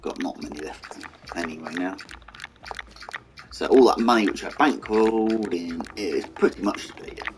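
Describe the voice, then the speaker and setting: A man with a hoarse, croaky voice, mumbling casual commentary over a strategy game and talking to its vehicles. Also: low voice, normal speed, background noise. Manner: mumbling, talks to the game's vehicles. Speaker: gaming channel host. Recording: game music behind voice.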